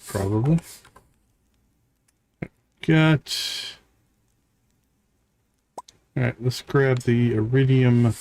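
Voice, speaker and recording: Slow-paced man musing over a game. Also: medium pitch, darkish tone, slight background noise. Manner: musing, talks in short fragments to himself. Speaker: game streamer. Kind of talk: casual live gameplay commentary, thinking aloud.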